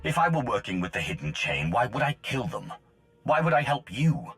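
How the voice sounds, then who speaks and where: An actor playing a stern, driven mercenary fighter in a video game, terse and commanding. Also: male voice, medium pitch, big pitch swings, moderate pace, a bit bright, faint music behind. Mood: irritated. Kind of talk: video game cutscene acting.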